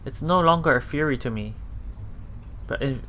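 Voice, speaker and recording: A man reading a visual novel aloud as a let's play host, halting and self-correcting, with muttered casual asides. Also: mid-range voice, bright, forceful tone, slight background noise, poor audio.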